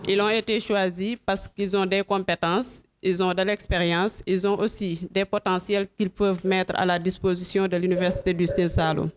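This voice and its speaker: Smooth-voiced woman speaking formally. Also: mid-range voice, little pitch movement, moderate pace, hardly any pauses. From Senegal. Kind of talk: formal congratulatory remarks.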